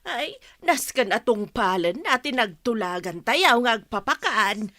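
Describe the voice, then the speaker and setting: A woman's voice: a little high, big pitch swings, a little slow, pushed and bright, no echo, clean studio sound, exaggerated voice. A woman acting a proud, insistent friend with an Ilocano accent in a Filipino audio drama. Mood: pleased, breezy.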